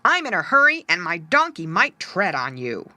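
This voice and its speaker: A woman's voice: fairly low pitch, huge pitch swings, moderate pace, pushed and bright, no echo, full character voice. Woman doing a brusque, impatient old donkey driver.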